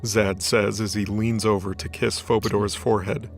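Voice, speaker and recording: Low, even-toned male story narrator. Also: moderate pace, vocal fry. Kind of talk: scripted fiction narration. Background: background music.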